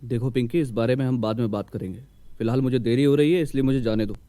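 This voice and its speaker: A man plays a brusque young husband from a cartoon moral tale. His tone is dark and soft, his manner hurried and dismissive, recorded dry and close. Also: medium pitch, steady tone, dry recording, close to an everyday voice. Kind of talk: Hindi moral-story cartoon acting.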